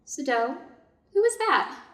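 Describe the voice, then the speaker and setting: A Japanese surgeon's wife, read by a woman in a staged story, proper, anxious and practical. Her voice is a little high and formally phrased. It comes from some distance in an echoey room. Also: big pitch swings, slow, fairly bright tone, full character voice. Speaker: reader's theater performer. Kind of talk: staged reading of a short story.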